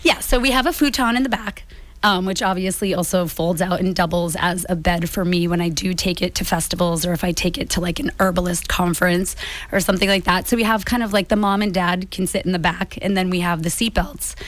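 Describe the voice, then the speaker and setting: Slightly raspy American woman chatting casually. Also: lowish voice, steady tone, a little fast. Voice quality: a little raspy. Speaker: guest, small business founder. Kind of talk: casual interview chat.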